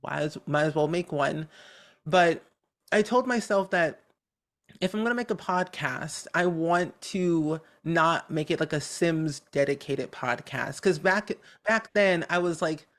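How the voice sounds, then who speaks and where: An upbeat, talkative content creator chatting casually as a podcast guest, with a naturally high voice that has a medium tone. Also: measured pace, fairly bright tone. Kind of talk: casual interview chat.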